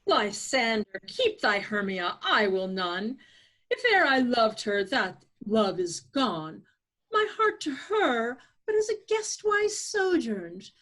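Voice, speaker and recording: Proud young suitor woman reader, Shakespeare table read: scornful, highish for the reader, swooping and deliberate. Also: a bit bright, full character voice, poor audio.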